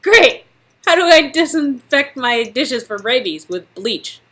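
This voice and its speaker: A woman acts out a sarcastic young would-be actress in a story game. The voice is bright and animated, wry and exclamatory, with lively swings in pitch. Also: a little slow, slight background noise, heavily acted. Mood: sarcastic dismay.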